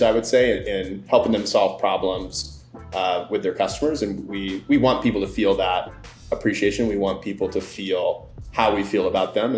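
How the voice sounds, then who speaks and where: A man's voice: lowish voice, a little mellow, roomy sound. A man answering as a company guest in an interview, friendly and fluent with frequent fillers.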